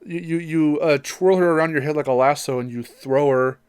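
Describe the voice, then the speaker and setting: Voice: mid-range voice, a little fast, darkish tone, clean tone, no room sound. A podcast co-host chatting casually about games in a rambling, joking way, with some swearing. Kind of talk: casual gaming podcast chat.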